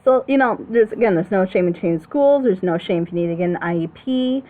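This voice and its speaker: Woman, medium pitch, opinionated reaction commentator, emphatic and conversational; dull, band-limited audio. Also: expressive, a little fast. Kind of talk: casual opinionated discussion.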